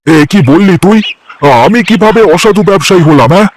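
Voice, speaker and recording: A man voicing an eager, defensive village vegetable seller in a cartoon, chatty and folksy with drawn-out hesitations. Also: high voice, a little hiss or hum. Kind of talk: cartoon voice acting.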